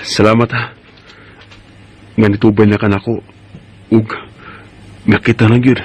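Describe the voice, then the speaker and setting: A man's voice: lowish voice, flat delivery, a little slow, very noisy, close to an everyday voice. A man with a Cebuano Bisaya accent playing a lovesick married friend, insistent and sulky, in a radio drama. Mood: sweet, wooing.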